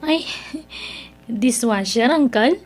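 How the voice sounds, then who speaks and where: A proud young woman in an audio drama, with a high voice and an Ilocano Filipino accent. She sounds whiny and haughty, a reluctant worker who thinks herself above others. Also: animated, slow pace, a little mellow, soft background music. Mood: hesitant, pleading. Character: proud young niece Mira, reluctant new restaurant dishwasher, complaining daughter.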